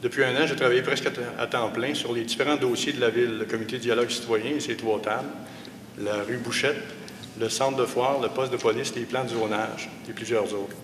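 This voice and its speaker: A man's voice: mid-range voice, fairly even intonation, a little fast, fairly bright tone. Middle-aged male candidate, matter-of-fact, dry humor, roomy hall with audible background noise. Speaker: municipal candidate. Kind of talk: debate opening statement.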